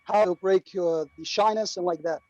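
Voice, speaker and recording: A man's voice: high-pitched, steady tone, a little mellow, dry recording. Iraqi guy on a video call, lots of ums and restarts, muffled lo-fi audio. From Iraq. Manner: halting, many fillers and restarts. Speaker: online class participant. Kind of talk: casual speech to a class.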